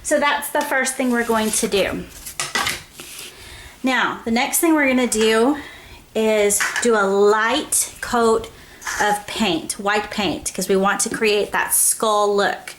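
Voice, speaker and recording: A woman hosting her own craft show, walking viewers through a project step by step in a casual, chatty way and often checking in with a quick "okay?". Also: fairly high pitch, background noise.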